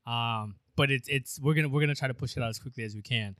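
A man co-hosting a podcast in loose, rambling casual chat, quick to laugh. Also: mid-range voice, sharp tone, no echo. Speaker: podcast co-host.

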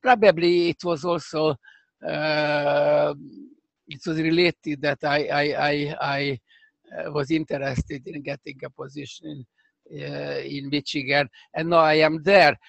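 Halting older man with Hungarian accent. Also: a little high, little pitch movement, vocal fry, no echo. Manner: halting, searching for words, many restarts. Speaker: professor guest. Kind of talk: remote interview conversation.

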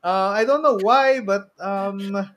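A man's voice: sits high, a little slow, a bit bright. A man with a Filipino accent co-hosting a podcast, chatting casually about films and games in a rambling way, often rephrasing himself. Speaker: podcast co-host.

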